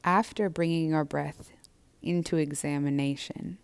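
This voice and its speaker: Female meditation guide: low, smooth and resonant, with gentle, measured, soothing delivery. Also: fairly even intonation. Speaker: yoga and meditation instructor. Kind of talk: guided breathwork and body scan instruction.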